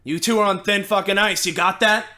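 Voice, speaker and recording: An abrasive cyborg woman with a short fuse, played by a man at a game table. The delivery is curt and hard-edged, pushed down a little from a higher everyday voice. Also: steady tone, brisk, sharp tone. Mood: threatening. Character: hot-tempered, foul-mouthed female cyborg fighter.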